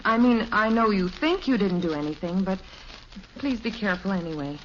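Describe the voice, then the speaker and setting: An actress playing a gentle, cautious middle-aged suburban mother in an old radio sitcom. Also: medium pitch, expressive, moderate pace, close to an everyday voice. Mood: anxious, gentle. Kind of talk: 1950s radio sitcom acting. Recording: muffled old radio recording, faint noise.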